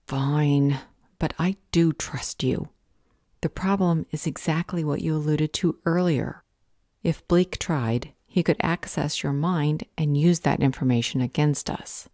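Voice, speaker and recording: Low, mellow, firm woman leader played by an actress. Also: measured pace, dry recording, studio quality. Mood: firm, reassuring. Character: firm, determined woman leading a fight against a villain. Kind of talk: audio roleplay acting.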